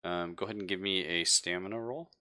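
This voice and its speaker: A man with a very low, forceful voice acts as game master. He improvises narration and rulings in casual, slangy speech and swears freely. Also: fairly even intonation, quick pace, smooth.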